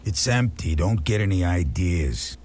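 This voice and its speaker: An actor playing a shifty, cowardly but surly bartender in a video game, grudging and easily rattled. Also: male voice, deep voice, expressive, a little slow, darkish tone, a little hiss or hum.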